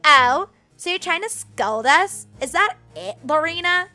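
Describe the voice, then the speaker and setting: A woman voicing a haughty older maid, a smug bully who scolds and mocks with drawn-out theatrical relish. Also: very high voice, expressive, pushed and bright, faint music behind, pitched above the performer's own voice, exaggerated voice. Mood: mocking.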